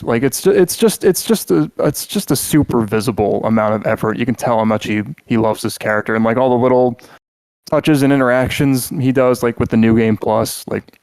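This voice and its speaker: A man's voice: mid-range voice, a little mellow, no room sound. A man co-hosting a podcast in casual chat, rambling and joking with lots of filler words and restarts. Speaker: podcast co-host.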